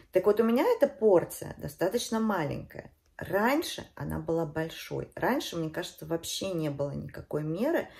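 A woman's voice: lowish voice, vocal fry, darkish tone. A woman in her thirties chatting casually to her viewers at home, emphatic and conversational, repeating herself for stress. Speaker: beauty and lifestyle vlogger. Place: indoors at home.

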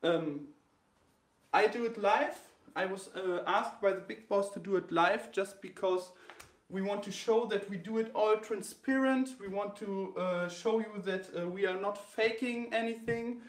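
A man in his thirties from Germany with a German accent, hosting a battle and explaining the rules live to a phone camera, halting with many fillers and restarts. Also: sits high, echoey room. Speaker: host of a graffiti sketch battle. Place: indoor room with a wall-mounted bracket.

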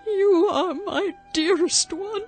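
An actress playing a tender, sorrowful elderly wife in a radio drama, speaking with tremulous emotion. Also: female voice, very high voice, slow pace. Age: older. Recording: faint music behind the voice.

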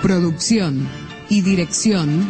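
A man's voice: sits high, slow. A man with an Argentine accent formally announces a radio theatre broadcast in a stately, declamatory way. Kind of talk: formal announcement of title and cast. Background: music.

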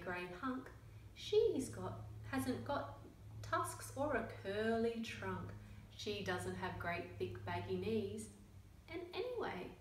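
Woman acting a frustrated little monkey, swooping pitch. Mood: insistent. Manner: emphatic, exasperated storybook delivery. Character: upset young monkey searching for its mum. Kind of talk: children's picture book read-aloud. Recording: roomy, distant mic, faint noise.

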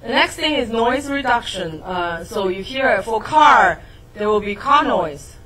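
A woman's voice: on the high side, lively intonation, unhurried, sharp tone, slight background noise, low-quality recording. Chinese woman giving a tech lecture. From Shanghai, China. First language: Mandarin. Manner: explanatory, asks audience to confirm. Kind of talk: technical talk to an audience.

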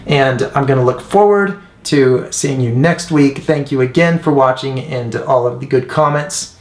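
A man's voice: mid-range voice, unhurried. Man chatting earnestly in an echoey room. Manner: earnest, conversational, hesitant searching for words. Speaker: host, mural painter and instructor. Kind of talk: casual talk to camera, answering viewer comments. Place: indoors, room with painted mural wall. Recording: echoey, roomy sound.

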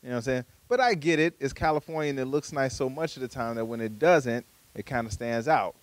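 A man in his forties doing a stand-up comedy set on a small studio stage with a handheld mic, talking conversationally with playful asides and high-pitched voices for punchlines. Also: lively intonation, fast talker, no echo. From Chicago, USA. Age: 40s.

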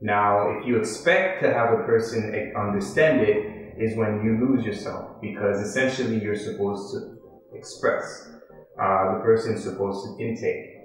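A man in his thirties from Haiti, an artist answering interview questions slowly and thoughtfully, with many ums and restarts. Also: on the low side. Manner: slow, philosophical, with frequent ums and restarts. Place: art studio with paintings, indoors. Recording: very echoey room with music behind.

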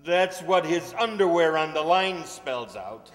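A man's voice: fairly high pitch, lively intonation, measured pace. A man playing a cheery, chatty bus tour guide in an old-time radio comedy, announcing to his passengers with showy patter. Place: stage of a large hall. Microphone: stand microphone. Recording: echoey hall stage performance.

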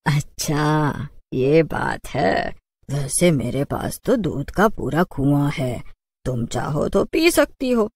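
A woman's voice: sits low, very animated, slow pace, darkish tone, slightly rough, dry recording, pitched below the performer's own voice, heavily acted. A woman voicing a sly, commanding witch who drawls and mocks in a cartoon story. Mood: imperious, amused. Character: sly green witch woman, mocking, offering bargains.

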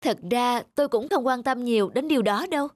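A woman voicing a polite young village wife in a cartoon, calm, modest and gently firm. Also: on the high side, brisk, dry recording, close to an everyday voice. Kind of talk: cartoon dubbing.

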